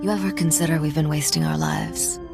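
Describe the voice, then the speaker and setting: Wry, low-voiced royal smuggler woman. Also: very animated, brisk, gravelly, loud music behind the voice, full character voice. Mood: wry, thoughtful. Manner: dry, wry, self-assured. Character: sardonic young female smuggler and exiled royal heiress. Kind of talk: video game dialogue acting.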